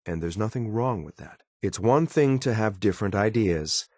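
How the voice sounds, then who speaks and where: An actor playing a loyal, disapproving android soldier in a video game, speaking in a formal, clipped, deadpan and blunt way. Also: male voice, on the low side, moderate pace, dry recording, clean studio sound. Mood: stern, reproachful. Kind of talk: video game companion voice lines.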